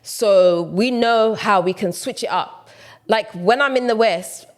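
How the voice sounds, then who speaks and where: A woman's voice: medium pitch, a little slow. British-accented woman from ghana vlogging at home, chatty, doubles up words when she stresses things. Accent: British with Ghanaian traces. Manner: conversational, repeats words for emphasis. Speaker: vlogger and matchmaker. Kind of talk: casual commentary to camera.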